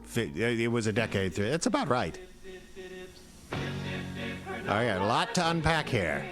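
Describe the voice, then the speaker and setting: A man's voice: medium pitch, sing-song, fairly quick, over music. A man co-hosting with casual, joking commentary. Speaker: show co-host.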